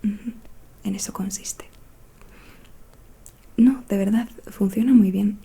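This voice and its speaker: A woman from playing a gentle, mysterious fortune-telling witch in an ASMR roleplay, speaking in a soft, soothing whisper. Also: mid-range voice, fairly even intonation, gravelly, a little hiss or hum. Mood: soothing, confiding. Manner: slow, soothing, confiding.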